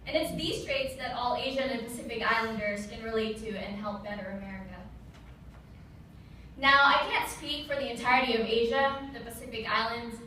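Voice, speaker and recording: A young woman from the Philippines, a Tagalog speaker, gives a measured prepared speech as an Army officer. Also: a little high, sharp tone. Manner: measured, reads from a prepared text. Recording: echoey hall, distant mic, faint room noise.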